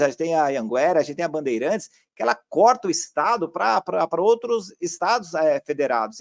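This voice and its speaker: A male interview host talks to his guest and viewers in a fairly high voice. The sound is dry, and his conversational delivery is halting, with repeated words as he builds each point. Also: creaky, no echo.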